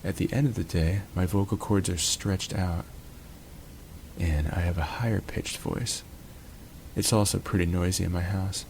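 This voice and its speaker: A man's voice: low voice, fairly even intonation, moderate pace, creaky, background noise. A man hosting his own channel, casually narrating a match from home in a laid-back, jokey, chatty way. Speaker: gaming channel host. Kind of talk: relaxed gameplay commentary.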